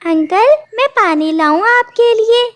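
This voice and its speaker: A woman's voice: extremely high pitch, lively intonation, slow, voice pushed up, heavily acted. A woman voicing a sweet, polite, studious young bird daughter in an earnest manner for a cartoon. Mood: polite, eager.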